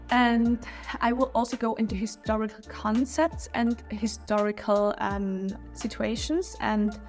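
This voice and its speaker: A woman whose first language is German speaks English with a German accent, hosting a history channel in a casual, opinionated video review with small slips and restarts. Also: fairly high pitch.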